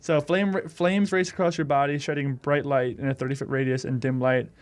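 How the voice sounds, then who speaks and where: Casual male tabletop gamer, medium pitch. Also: fairly even intonation, brisk. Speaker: tabletop game player. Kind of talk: casual game chat.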